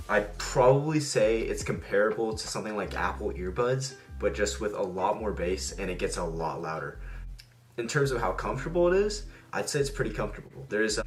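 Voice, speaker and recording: Low-voiced man casually reviewing gear. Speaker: product reviewer and video host. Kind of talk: casual product review to viewers.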